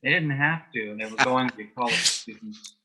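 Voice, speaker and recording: Husky, deadpan man with a bright edge. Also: mid-range voice, normal speed. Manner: dry, deadpan humour. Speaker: interview guest, author and former folklorist. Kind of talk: conversational interview, telling anecdotes and jokes.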